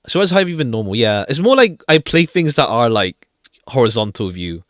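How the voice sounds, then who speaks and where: A man's voice: mid-range voice, very animated, a little fast, no room sound, clean studio sound. A man streaming a game with casual commentary, rambling hesitantly and repeating phrases as he goes. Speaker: live streamer. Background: faint jazzy game music.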